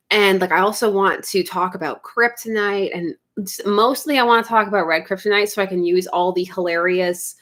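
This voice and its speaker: A woman's voice: medium pitch, a bit bright. Giggly Canadian woman chatting on a livestream. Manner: giggly and chatty, laughs often, drifts off topic. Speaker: YouTube livestream host. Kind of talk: casual livestream chat reading viewer comments. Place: home studio set.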